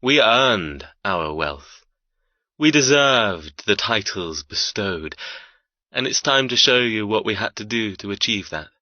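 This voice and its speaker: A man playing a cold, arrogant young heir in an audiobook, threatening and deliberate. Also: lowish voice, animated, sharp tone, dry recording, lo-fi sound, heavily acted. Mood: cold menace. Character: cold menacing heir, cruel and possessive brother. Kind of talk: dramatic audiobook character acting.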